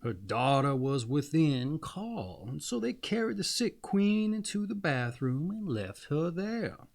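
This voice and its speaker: Male fairy-tale narrator: dramatic, widely varied intonation, unhurried pace, mid-pitched. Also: very animated, gravelly, a little mellow. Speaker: storyteller.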